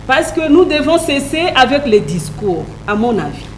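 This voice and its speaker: A woman's voice: high voice, sing-song, moderate pace, slight background noise. A woman, not a native French speaker, giving earnest, emphatic remarks as a panel speaker, with hesitant restarts. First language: a Congolese language. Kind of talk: public debate remarks.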